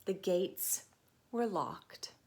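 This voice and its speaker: A woman storytime teacher reading a picture book to children, expressive and deliberate, with chatty asides and questions. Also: on the low side, vocal fry.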